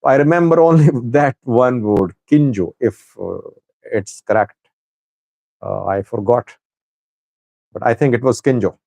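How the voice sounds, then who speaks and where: A man whose first language is Urdu hosts a live-stream news chat, greeting viewers and pausing often with fillers. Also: medium pitch, vocal fry, dark tone, no room sound, poor audio. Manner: unhurried, halting with many fillers. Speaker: news channel host.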